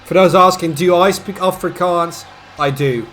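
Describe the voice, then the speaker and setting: Guy doing wrestling announcing, higher voice, hams it up loud then drops low, bit of music under it. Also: moderate pace. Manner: theatrical, swings between booming shouts and low asides. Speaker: wrestling e-fed announcer and commentator. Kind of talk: play-by-play ring announcing and commentary.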